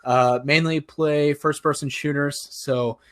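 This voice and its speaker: A man in his twenties co-hosting a casual gaming podcast, upbeat and quick to laugh. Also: a little high, steady tone. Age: 20s. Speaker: podcast co-host. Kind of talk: casual chat.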